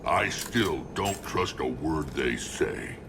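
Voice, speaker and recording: An actor playing a huge, blunt, fierce alien warlord in a video game, with a growling, gravelly voice. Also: male voice, rumbling low, expressive, slow pace, music in the background, full character voice. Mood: grim, distrustful. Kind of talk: video game cutscene acting.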